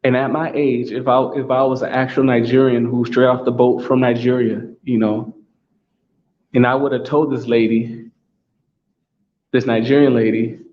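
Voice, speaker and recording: A man's voice: medium pitch, little pitch movement, normal speed. Casting: American man, solo talk host, emphatic and repetitive, mid-range voice, slightly dull lo-fi audio with faint background hum. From United States. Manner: repetitive, emphatic, restating points. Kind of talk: solo monologue.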